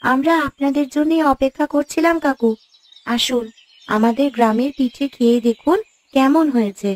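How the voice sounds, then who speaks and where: A woman's voice: high voice, normal speed. A woman voicing an earnest, hopeful and polite young village girl in a cartoon. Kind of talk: cartoon voice acting. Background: instrumental music.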